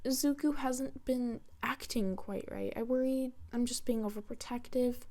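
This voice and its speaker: A woman voicing a worried, gentle young mother in a story, soft and coaxing. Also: fairly high pitch, normal speed, close to an everyday voice.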